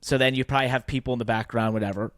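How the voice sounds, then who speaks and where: A man's voice: mid-range voice, fairly even intonation, very fast, a bit bright, no room sound, clean studio sound. A man co-hosting a podcast, explaining his points conversationally in a casual sports business discussion. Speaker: podcast co-host.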